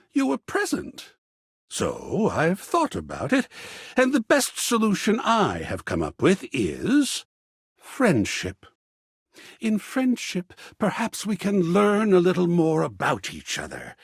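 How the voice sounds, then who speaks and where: Husky, wildly swooping melodramatic art lover. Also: male voice, a little high, no room sound, clean studio sound, exaggerated voice. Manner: florid, theatrical, drawn-out delivery with big swoops. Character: effusive, lovestruck, melodramatic male art lover and gallery creator. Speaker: video game voice actor. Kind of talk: theatrical game character dialogue.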